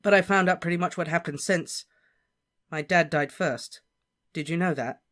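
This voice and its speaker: A narrator voicing a quiet, insistent teenage boy wizard who speaks steadily and lightly above his own voice. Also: mid-range voice, normal speed, pitched above the performer's own voice, natural voice. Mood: determined.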